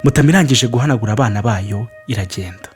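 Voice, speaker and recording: A man's voice: mid-range voice, rapid-fire, soft background music. A man reading a storybook and addressing children directly as their storyteller.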